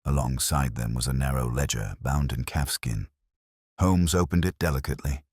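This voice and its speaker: Very deep husky male story narrator. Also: moderate pace, a little mellow, dry recording, studio quality. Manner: dramatic, literary storytelling. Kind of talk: scripted audiobook narration.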